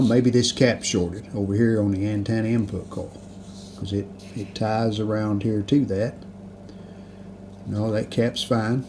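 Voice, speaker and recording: A man giving a casual hands-on repair walkthrough, unhurried and thinking aloud as he works at an indoor bench. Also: lowish voice, a little mellow. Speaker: hobbyist restorer presenting a repair video. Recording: clear background noise.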